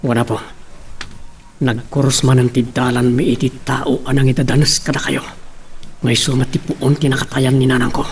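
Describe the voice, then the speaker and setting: A man's voice: mid-range voice, flat delivery, normal speed, lightly acted. A man acting an earnest, determined young man in a radio drama, respectful to elders. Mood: hesitant, respectful. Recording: noisy, with clicks.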